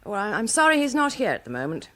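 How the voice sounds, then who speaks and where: An actress playing the loyal, spirited wife of a British detective, with an expressive, polite manner in a radio drama. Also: female voice, mid-range voice, quick pace. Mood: polite, helpful.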